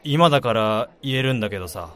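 A man playing an excitable, easily embarrassed young drinking buddy in a radio drama, with a whiny, exclamatory manner. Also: mid-range voice, quick pace, some chatter behind, natural voice. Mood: hesitant confession.

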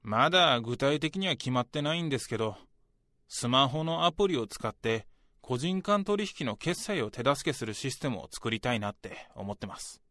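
A man's voice: mid-range voice, lively intonation, moderate pace, pushed and bright, no echo, clean studio sound. A man playing an ambitious, self-assured young office worker in a radio drama, speaking politely and earnestly to his senior. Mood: eager, talkative. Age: young adult. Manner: polite junior speech, talkative about ambitions. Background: background music.

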